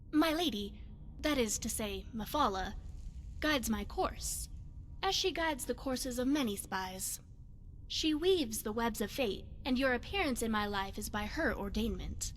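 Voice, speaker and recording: A woman playing a poised, secretive spy devoted to her goddess in a video game, speaking in formal, measured phrases. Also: on the high side, slight background noise, lightly acted. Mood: devout, persuasive. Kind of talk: scripted video game character dialogue.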